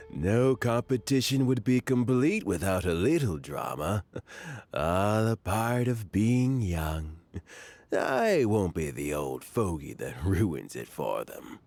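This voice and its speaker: A man voicing a genial, indulgent old gentleman judge in a video game, kindly and unhurried. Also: on the low side, sing-song, soft background music, full character voice. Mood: amused, indulgent. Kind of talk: English video game voice acting.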